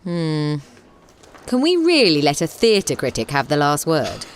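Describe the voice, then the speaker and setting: An actress playing a guarded, stubborn theatre director in an audio drama, with a cool manner. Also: female voice, sits low, wildly swooping pitch, a little slow, big-room sound, full character voice. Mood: doubtful.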